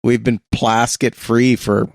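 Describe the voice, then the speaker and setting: A man's voice: mid-range voice, level tone, slow, creaky, dry recording. A man co-hosting a community radio show, chatting casually and playfully between songs with a wry touch. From Canada.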